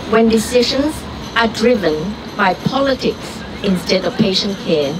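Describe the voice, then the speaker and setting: A woman from Vietnam, giving an earnest, deliberate prepared speech at an outdoor rally. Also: mid-range voice. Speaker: rally speaker, doctor. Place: outdoor street gathering. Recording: far-off street recording with traffic noise.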